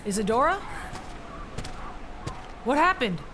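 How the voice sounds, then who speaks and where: A woman playing a remorseful, gentle companion in a family audio drama, speaking haltingly with stammers. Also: a little high, big pitch swings, background noise. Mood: concerned. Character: remorseful, hesitant companion burdened by grief.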